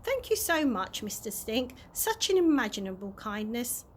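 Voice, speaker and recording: Woman voicing a polite, chatty old tramp, high-pitched. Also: sing-song, moderate pace, slight background noise. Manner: courteous, chatty, proudly well-mannered. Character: polite, cheerful, oblivious old male tramp.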